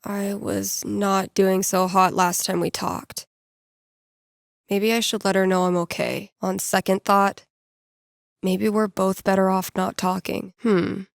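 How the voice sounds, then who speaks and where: A woman's voice: medium pitch, level tone, slow pace, vocal fry, no room sound. A woman playing a wry, playful young American radio DJ in a video game, speaking casually with dry, sardonic, self-deprecating asides. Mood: regretful. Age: young adult.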